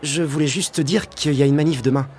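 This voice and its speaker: An actor playing a shy, casual teenage high-school boy in an audio drama, speaking in a hesitant, understated way. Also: steady tone, fast talker, a little mellow, some chatter behind, slight background noise, close to an everyday voice. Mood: hesitant, friendly.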